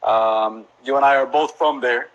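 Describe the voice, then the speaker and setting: A man's voice: medium pitch, a little slow, highs cut off. A man in his thirties from Colombia with a Colombian Spanish accent, chatting casually as a guest on a live video interview, easygoing and often repeating words as he thinks. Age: 30s. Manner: relaxed, calls the host man and bro, repeats words while thinking. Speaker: photographer and business owner. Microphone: phone or laptop on a live video call.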